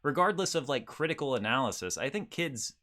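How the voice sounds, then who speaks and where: A man's voice: medium pitch, big pitch swings, a little fast, bright, forceful tone. A man co-hosting a podcast, talking in a casual conversational way. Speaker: podcast host. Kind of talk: casual chat.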